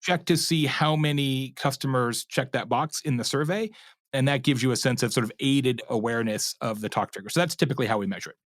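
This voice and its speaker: A man's voice: medium pitch, fairly quick. A man with a mid-range voice speaks as an expert guest in an interview. He explains in a conversational, storytelling way, slipping into imagined quotes and checking in with the listener. The sound is dry, with very little room echo. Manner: animated storyteller, acts out imagined customer quotes, checks in with "right?".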